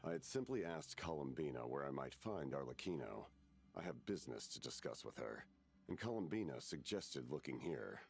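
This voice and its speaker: A man voicing a solemn, imposing military commander in a video game, formal, measured and polite. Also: rumbling low, sing-song, vocal fry, exaggerated voice. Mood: formal, apologetic.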